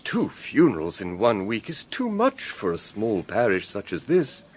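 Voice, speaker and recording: An actor playing a nervous, kindly country parson in a Victorian radio drama, wordy and genteel, with a stammering, flustered way of talking. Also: male voice, on the low side, wildly swooping pitch, unhurried, slight background noise. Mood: earnest. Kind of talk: period radio drama acting.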